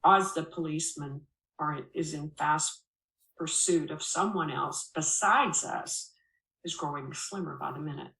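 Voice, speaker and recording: A woman's voice: low-pitched, unhurried, creaky, a bit bright, far from the mic. Woman reading a story in an echoey room. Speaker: narrator reading a memoir chapter. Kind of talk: expressive first-person audiobook-style storytelling. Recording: roomy, echoing voice recording.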